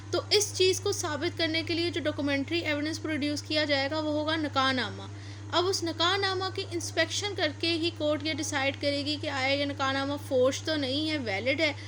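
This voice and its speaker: High, bright Pakistani woman lecturing. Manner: didactic, repeats and rephrases key points. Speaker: law lecturer. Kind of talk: explanatory law lecture with examples. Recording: noticeable background noise.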